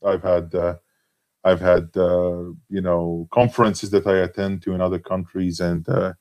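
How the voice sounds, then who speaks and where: A man's voice: low-pitched, level tone, normal speed, muffled recording. Hesitant man with a Latvian accent. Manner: hesitant, many fillers and restarts. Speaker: forum member being interviewed. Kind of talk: casual conversation.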